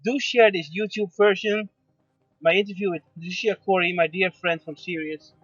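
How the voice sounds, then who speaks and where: A man hosting an internet radio show, gentle and affirming, pausing often with fillers. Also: sits high, fairly even intonation, breathy edge, no room sound, soft background music. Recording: dull lo-fi dry sound.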